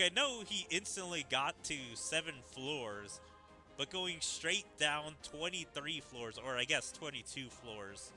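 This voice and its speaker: Man, casual game commentary, very bright forceful voice, lively pitch swings, thinking aloud, music behind. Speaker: gaming video creator.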